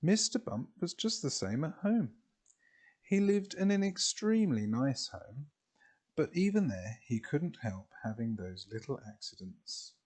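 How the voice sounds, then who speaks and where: A man reading a children's storybook expressively, in a deliberate way with drawn-out phrases. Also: medium pitch, big pitch swings, darkish tone, breathy edge.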